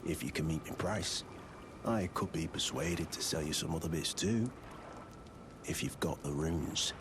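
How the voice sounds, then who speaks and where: Deep, gruff English thug. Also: male voice, measured pace, very noisy. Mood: grudging, gossipy. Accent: working-class English. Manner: blunt, surly, slangy, swearing. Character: gruff, shifty male thug selling boiled prawns. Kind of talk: video game character acting. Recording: loud music behind the voice.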